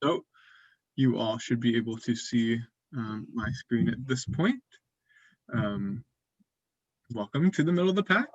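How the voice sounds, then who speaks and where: A man hosting an online game on a video call, explaining the rules in a relaxed way with many fillers. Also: medium pitch, a little slow, pauses often, dry recording, highs cut off. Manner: relaxed, filler-heavy presenting. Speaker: game host.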